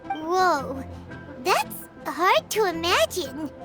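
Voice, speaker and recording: A woman's voice: very high-pitched, sing-song, drawn-out words, over music, full character voice. A woman voicing a small, childlike fairy companion in a video game, naive, curious and eager. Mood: amazed. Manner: refers to self in third person, exclaims eagerly.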